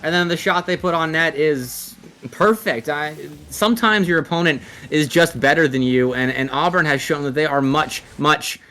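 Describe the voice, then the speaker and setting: A man commentates on a live esports match. His voice sits a little higher than most men's. He mixes play-calling with analysis, sometimes stretching words while he finds the phrase. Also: normal speed, bright, forceful tone.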